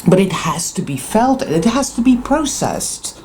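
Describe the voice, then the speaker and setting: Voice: mid-range voice, very animated, measured pace, darkish tone, slight background noise. A speaker with a Dutch accent, a native Dutch speaker, gives an intense, profane, emphatic monologue to viewers, repeating words for emphasis. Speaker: spiritual vlogger.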